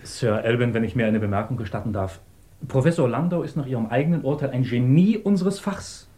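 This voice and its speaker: A man's voice: mid-range voice, brisk, dark tone, lightly acted. A man acting a deferential, eager assistant, polite and formal, in a 1950s German radio drama. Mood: pleading, deferential.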